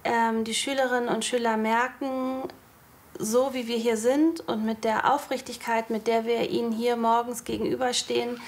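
A woman teacher speaking earnestly and deliberately in an interview. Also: on the high side, little pitch movement.

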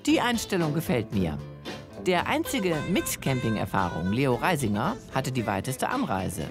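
A woman's voice: extremely low pitch, wildly swooping pitch, moderate pace. A woman reading scripted voice-over narration for a television show. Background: music.

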